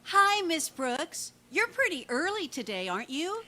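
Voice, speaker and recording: A woman's voice: very high-pitched, very animated, a little slow, pushed and bright, soft background music, full character voice. An actress playing a gushing, romantic schoolgirl in an old-time radio comedy. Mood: chirpy, gossipy.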